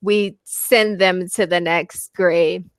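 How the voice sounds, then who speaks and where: A woman's voice: lowish voice, steady tone, no room sound, studio quality. An American woman tutoring English over a video call, friendly and given to easy laughter. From United States. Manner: slow, friendly, laughs often. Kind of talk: casual video-call conversation.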